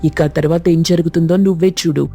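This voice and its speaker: A woman's voice: sits low, fairly even intonation, fairly quick, gravelly, dark tone, breathy edge, faint music behind, exaggerated voice. A woman voicing mischievous young schoolboys who bicker and plot a prank. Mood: scheming. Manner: conspiratorial, bickering. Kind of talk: cartoon voice acting.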